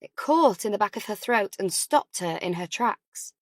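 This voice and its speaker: A woman's voice: mid-range voice, lively intonation, normal speed, no echo. Woman narrating fiction, dry close sound. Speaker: audiobook narrator.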